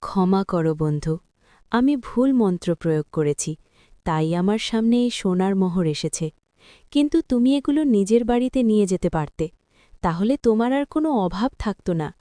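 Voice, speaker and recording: Woman playing a smooth-voiced, gentle cave fairy. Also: mid-range voice, lively intonation, fairly quick, dry recording, clean studio sound, natural voice. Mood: coaxing. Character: kindly magical cave fairy, female, testing with treasure. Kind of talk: scripted fairy-tale cartoon dialogue.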